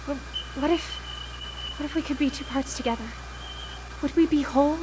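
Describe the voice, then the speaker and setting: Radio drama casting: actress as obsessive grieving widow, high-pitched, urgent and pleading. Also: female voice, steady tone, moderate pace, a little mellow, close to an everyday voice, rough recording. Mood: stunned, desperate. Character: grieving, obsessive widow desperate to reach her lost wife. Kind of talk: live radio drama acting. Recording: live broadcast with background noise.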